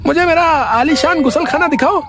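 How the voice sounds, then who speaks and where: A man's voice: very high-pitched, normal speed, background noise. A man voicing a vain, brash young beggar turned rich in a comedy cartoon, bossy and boastful, with a repeated verbal tic. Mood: irritated, haughty. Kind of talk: Hindi comedy cartoon story acting.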